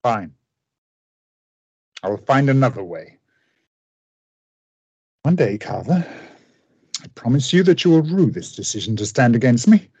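An actor in a radio play playing an obsessive, idealistic scientist with a husky voice and an intense, grandiose, formal manner. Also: male voice, mid-range voice, big pitch swings, measured pace, pauses often, darkish tone, dry recording. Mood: cold, vengeful. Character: obsessive, idealistic male scientist with a vengeful streak.

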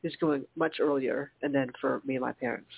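A woman's voice: very deep voice, steady tone, fairly quick, creaky, breathy edge, dry recording. A congested woman co-hosting a casual radio chat, chatty and trailing off with fillers. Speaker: co-host. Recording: tinny phone-like dry line.

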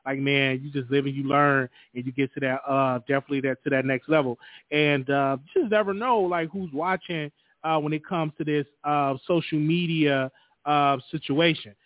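A man's voice: fairly high pitch, steady tone, moderate pace, dry recording. A man who just turned thirty, hosting a casual chat, encouraging and using many fillers. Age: 30s. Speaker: host. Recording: dry, phone-like sound.

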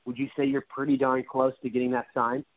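A man's voice: medium pitch, level tone, a little fast, no echo. A male talk show host interviewing a guest in a casual, rambling, effusive way, often restarting his sentences. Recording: dry, phone-like sound.

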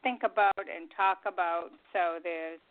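A woman chatting and announcing upcoming programs in a halting, chatty way as a radio co-host. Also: fairly low pitch, fairly even intonation. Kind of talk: casual radio chat and show announcements. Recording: tinny phone line, faint music behind.

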